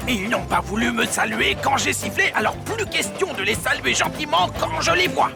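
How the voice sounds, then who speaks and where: A man's voice: very high voice, animated, normal speed, vocal fry, heavily acted. A man voicing a small, proud, sulky talking steam engine in a children's cartoon, with a petulant, whining, exclamatory delivery. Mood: indignant. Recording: music playing behind.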